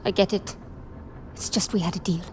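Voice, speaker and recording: A woman's voice: fairly low pitch, fairly even intonation, moderate pace, a little mellow, faint music behind, lightly acted. A woman playing a determined, wary young heroine in a video game, direct and guarded. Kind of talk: cinematic video game acting.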